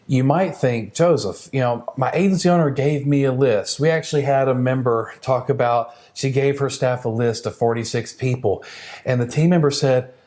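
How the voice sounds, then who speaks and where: Male sales trainer, medium pitch, conversational and emphatic, encouraging, talks straight to the team. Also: warm tone. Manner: encouraging, emphatic, addresses listener directly. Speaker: sales coach.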